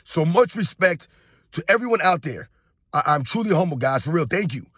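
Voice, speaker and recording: A hoarse man in his thirties, a sports YouTuber delivering a casual, emphatic opinion rant straight to his viewers and calling them guys. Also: fairly high pitch, big pitch swings, normal speed, a bit bright, no room sound, clean studio sound.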